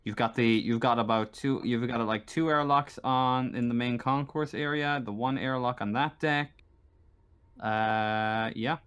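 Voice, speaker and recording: A man's voice: mid-range voice, fairly even intonation, unhurried, a bit bright, smooth. The guy at the head of an online role-playing night, working out the answer as he says it. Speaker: game master. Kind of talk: narrating a tabletop game, casual improvising. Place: online voice call.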